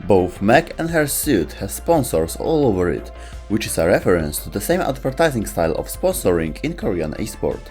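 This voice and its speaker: A man's voice: on the low side, very animated, normal speed, darkish tone, faint music behind. A man reading scripted narration as a video host.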